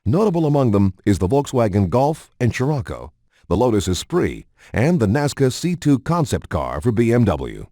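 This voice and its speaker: A man reading scripted documentary-style narration in a measured, polished announcer manner. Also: mid-range voice, sing-song, warm tone, no room sound, clean studio sound.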